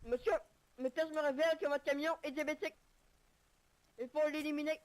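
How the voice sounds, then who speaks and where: A woman's voice: sits high, steady tone, fairly quick, close to an everyday voice, rough recording. A woman playing a deluded, earnest medic in a game roleplay, speaking in formal declarations. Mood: earnest, mock-formal. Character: deluded, earnest medic crusading against diabetes in vehicles. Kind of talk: improvised online game roleplay.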